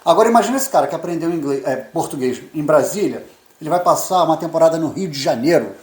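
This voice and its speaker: A man's voice: a little high, lively intonation, fast, a little mellow. A Brazilian man in his fifties, co-hosting a casual chat and rambling with repeated words. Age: 50s. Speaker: co-host, immigrant journalist. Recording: echoey room.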